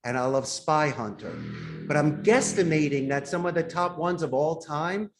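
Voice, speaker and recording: A man's voice: on the high side, normal speed, music in the background. A middle-aged man speaking as an interview guest, earnest and emphatic in a conversational way. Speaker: podcast guest, company CEO.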